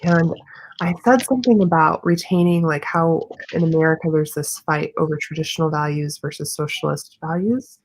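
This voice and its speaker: An earnest woman with a fairly low voice shares her firm views in a casual conversation. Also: moderate pace, low-quality recording. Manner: earnest, opinionated. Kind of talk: casual video chat.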